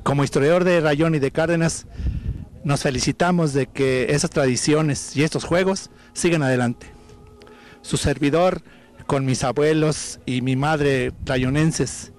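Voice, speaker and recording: Mexican man, local historian, formal measured address, slightly high voice, handheld mic outdoors. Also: fairly even intonation, darkish tone. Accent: Mexican Spanish. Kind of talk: closing remarks to camera. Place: outdoor baseball field.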